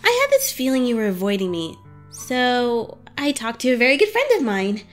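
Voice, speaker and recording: A flustered teenage superheroine acted by a woman in a cartoon-style comic dub. The voice is very high and lively, gushing and tripping over words. Music plays behind it. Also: normal speed. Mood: nervous, flustered. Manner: stammering, gushing, excitable. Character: flustered, awkward teenage superheroine hiding her crush.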